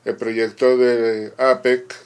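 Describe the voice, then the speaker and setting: A man working as a conference interpreter, giving a formal simultaneous interpretation in short, measured phrases with halting pauses. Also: mid-range voice, little pitch movement, clear, resonant tone.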